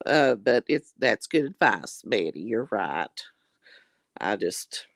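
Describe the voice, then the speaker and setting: A woman chatting casually with her viewers in a folksy, religious way, calling them honey. She keeps clearing her throat as her voice gives out. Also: rumbling low, very animated, takes it slowly, dry recording, studio quality. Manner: religious, often says Lord have mercy. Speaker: vlogger reading viewer comments. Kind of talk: casual live chat.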